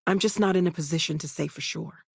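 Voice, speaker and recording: An actress playing a young, earnest and caring woman scientist and space explorer in a video game, speaking in a natural, conversational and expressive way with a wry edge. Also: lowish voice, fast, creaky, breathy edge, no room sound, studio quality. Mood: calm, thoughtful.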